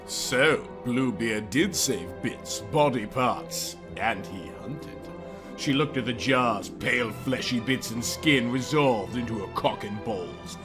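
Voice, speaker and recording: A man's voice: mid-range voice, takes it slowly, over music. A man narrating a story in a theatrical, comic reading style, with dramatic, emphatic phrasing.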